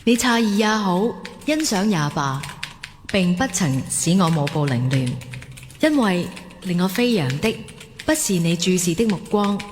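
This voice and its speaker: A woman's voice: on the low side, big pitch swings, measured pace, slight background noise. An actress playing a playful, romantic young woman in a Cantonese radio drama, with a Hong Kong accent. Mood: dreamy, poetic. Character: playful, romantic, impulsive young woman smitten with online stranger.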